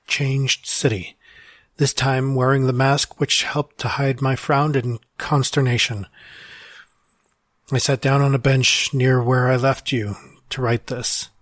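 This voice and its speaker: A man's voice: medium pitch, no room sound, lightly acted. A man playing a melancholy, displaced time traveller narrating his letter in a formal, old-fashioned, reflective way for an audio drama. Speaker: writer and performer of an audio drama.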